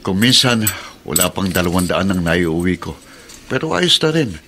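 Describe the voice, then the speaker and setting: A man's voice: low voice, very animated, moderate pace, bright, forceful tone, a little hiss or hum, heavily acted. An actor playing a resolute, middle-aged father in a radio drama, speaking in an earnest, reassuring way. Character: resolute one-legged father driving a pedicab.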